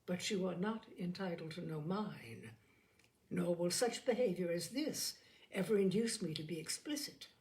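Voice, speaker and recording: Woman reading as a young lady from an old novel, cool n quick-witted, won't be pushed around, lively pitch. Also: poor audio. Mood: defiant. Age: young adult. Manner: cool, measured, pointed. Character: composed, defiant, quick-witted young gentlewoman. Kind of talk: dramatised classic novel audiobook.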